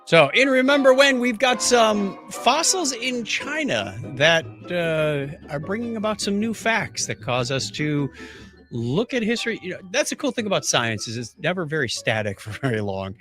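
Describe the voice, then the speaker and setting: A man's voice: high voice, very animated, moderate pace, fairly bright tone, soft background music. A man hosting a podcast in a casual, jokey, conversational way. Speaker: podcast host.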